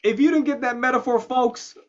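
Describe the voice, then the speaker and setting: Enthusiastic male host, slightly dull sound. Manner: enthusiastic, slows down for emphasis, lively pitch jumps. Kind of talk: interview talk show.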